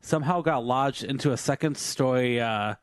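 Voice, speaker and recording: A man co-hosting a podcast, slightly hoarse from singing, reading out news stories in rambling casual chat full of fillers and restarts. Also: medium pitch, moderate pace. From Filipino family background. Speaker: podcast co-host.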